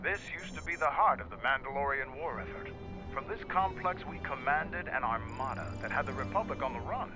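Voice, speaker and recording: An actor playing a proud, stern warrior clan leader in a video game, speaking with measured authority. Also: male voice, mid-range voice, lightly acted. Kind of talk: video game character dialogue. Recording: loud music under game dialogue.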